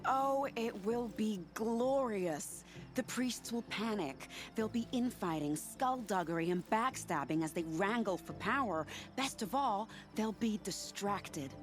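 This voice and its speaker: A woman's voice: mid-range voice, lively intonation, measured pace, faint music behind, lightly acted. An actress playing a confident, scheming spy in a video game, teasing and theatrical in her delivery. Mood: gleeful, scheming. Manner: teasing, silky, dramatic. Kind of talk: video game cutscene dialogue acting.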